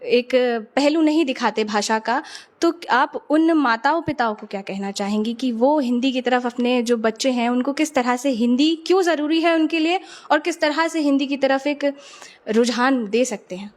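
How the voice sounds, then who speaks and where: A woman with a high-pitched voice hosts the interview. She asks long, searching questions and pauses on fillers as she frames them.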